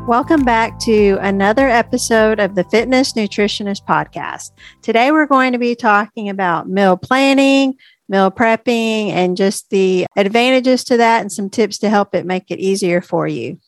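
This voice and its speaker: Casual woman co-host, slightly stuffy, mid-pitched, dry close mic. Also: no room sound, faint music behind. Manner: relaxed, chatty, drawn-out fillers. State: a little stuffy. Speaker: podcast co-host, registered dietitian. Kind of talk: casual chat.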